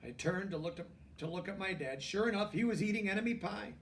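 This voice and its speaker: A man reading a children's book aloud in an expressive way, pausing for emphasis. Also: high voice, recorded from a distance, slight background noise. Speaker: storybook reader. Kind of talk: children's book read-aloud with brief asides.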